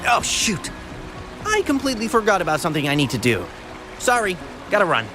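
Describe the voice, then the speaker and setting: A man's voice: sits high, sing-song, fairly quick, music in the background. A man playing a gossipy, condescending townsman in a video game, with an insinuating, theatrical delivery. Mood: flustered.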